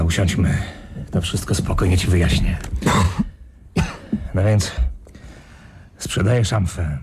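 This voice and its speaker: A man playing a hard, sardonic middle-aged tough guy in a radio play, deadpan, menacing and very raspy. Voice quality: low, very raspy. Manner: deadpan, menacing, matter-of-fact.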